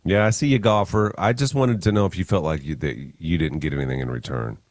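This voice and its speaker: A man's voice: sits low, fast talker. A man hosting a morning radio show, joking around and reading listener messages aloud. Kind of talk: casual morning radio banter. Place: radio studio. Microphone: studio microphone.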